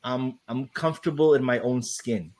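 A young man guest in a casual interview, talking loosely with lots of "like" and "right?", with a loud voice. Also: mid-range voice, moderate pace. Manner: loose, rambling, heavy on "like" and "right?".

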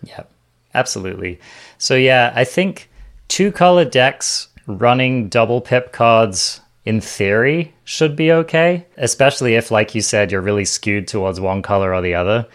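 A man's voice: medium pitch, normal speed. A man hosting a card game discussion, giving casual, conversational commentary and explaining his takes.

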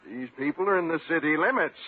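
An actor playing a stern, impatient small-town mayor with a brusque, authoritative manner in a 1950s radio comedy. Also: male voice, a little high, fast talker, a little hiss or hum, lightly acted. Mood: approving. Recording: muffled, lo-fi 1950s radio recording.

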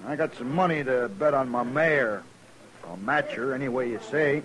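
An actor playing a rough, hot-tempered horse bettor with an in a 1950s radio western. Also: male voice, mid-range voice, normal speed, a bit bright, close to an everyday voice, low-quality recording. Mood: brash, challenging. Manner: blunt, challenging. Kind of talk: 1950s radio drama acting.

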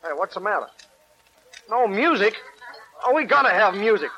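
A man's voice: sits high, sing-song, normal speed, bright, forceful tone, highs cut off, exaggerated voice. An actor playing a cocky, ruthless gangster boss in 1940s radio style, boasting in tough-guy slang. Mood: exuberant, boastful. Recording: noisy vintage 1940s radio recording.

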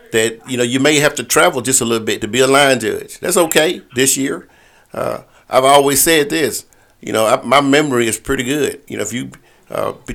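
A man with a mid-pitched voice speaks as the organizer on a group call, briefing officials informally. He often repeats himself and circles back to the same stock phrases as he explains. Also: a bit bright. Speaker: officials' assigner leading a conference call. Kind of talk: informal briefing to volleyball referees.

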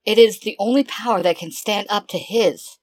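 A man voicing a remorseful female mentor figure who speaks softly and pleadingly, as if near tears. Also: high voice, moderate pace, pitched above the performer's own voice, natural voice. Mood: grave. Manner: soft and pleading. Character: remorseful female mentor being, tearful and defensive.

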